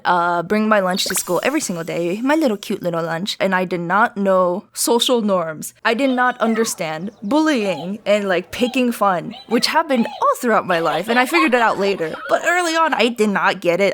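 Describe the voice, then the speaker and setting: Young adult woman, American accent, casual storytime, animated, sarcastic, sweary, medium pitch, faint background noise. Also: brisk, few pauses. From United States and Japan. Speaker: storytelling video creator.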